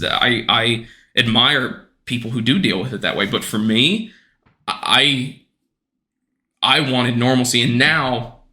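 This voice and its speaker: Twenties man, bright tone, earnest and rambling, lots of restarts; casual podcast talk. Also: medium pitch. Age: 20s. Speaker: podcast guest.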